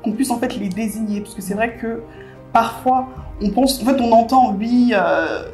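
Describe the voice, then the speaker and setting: A woman's voice: fairly high pitch, normal speed, a little mellow, faint music behind. A woman interviewer speaking with passion in a casual conversation, often filling with "en fait". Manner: impassioned, many "en fait" fillers.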